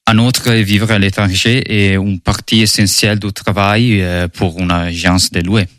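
A man whose first language is Italian, with an Italian accent, answering interview questions in French as an intern at an EU agency, measured and full of hesitation sounds. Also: lowish voice, level tone, fairly bright tone, dry recording, clean studio sound. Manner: measured, with frequent hesitation fillers.

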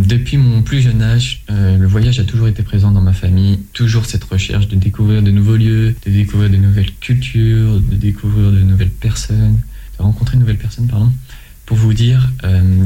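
A man in his twenties from France, answering interview questions in a measured way, often pausing and restarting. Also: lowish voice, level tone, warm tone. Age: 20s. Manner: measured, with frequent hesitations and restarts. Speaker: interview guest, volunteer.

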